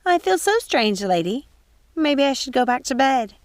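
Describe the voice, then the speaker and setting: A woman voicing a timid, confused old female housekeeper in a high, polite voice. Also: sing-song, moderate pace, dry recording.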